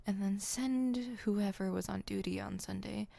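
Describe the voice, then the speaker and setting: A woman's voice: mid-range voice, unhurried, gravelly, background noise. A woman voicing an exasperated, proud but secretly caring supervillain in an ASMR roleplay, with an indignant, wordy, dry delivery. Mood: dry, matter-of-fact.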